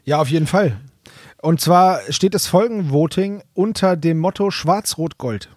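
Higher-pitched man, slangy and dry-miked. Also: unhurried, no room sound. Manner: colloquial, slangy. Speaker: podcast co-host. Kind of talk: casual podcast chat.

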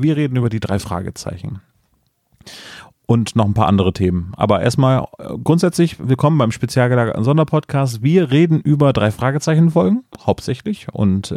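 A man's voice: medium pitch, mellow, dry recording. Casting note: young man, casual podcast host, talkative and easygoing, dry close sound. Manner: chatty, laughs often, leads the talk.

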